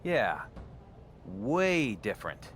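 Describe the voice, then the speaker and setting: A man's voice: on the low side, big pitch swings, very slow, fairly bright tone, in a large hall, faint music behind, heavily acted. An actor playing a defensive, sarcastic wrestling champion in a video game, a man with a wry, casual way of talking. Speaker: wrestling champion and show co-host. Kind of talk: scripted video game cutscene acting.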